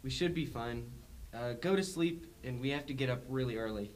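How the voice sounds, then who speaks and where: A man's voice: medium pitch, fairly quick, pitched below the performer's own voice, close to an everyday voice. A young man acting as a protective, decisive older brother leading survivors in an amateur zombie radio drama. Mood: bossy, casual. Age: teenager or young adult. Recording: music behind the voice.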